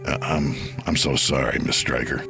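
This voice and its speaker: An actor playing a modest, earnest detective in an audio drama, speaking with some hesitation. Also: male voice, rumbling low, steady tone, moderate pace, vocal fry, music in the background, exaggerated voice. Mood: shaken. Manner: hesitant, restarting. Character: modest, earnest homicide detective turned demon hunter.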